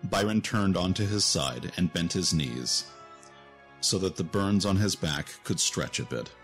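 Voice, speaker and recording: Low-voiced male story narrator. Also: expressive, measured pace, a bit bright. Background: music.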